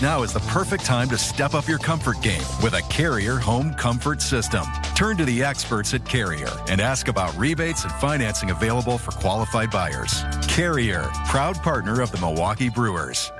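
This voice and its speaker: A male voice-over announcer reads a radio commercial from a script. His medium-pitched voice moves in an animated way over prominent music.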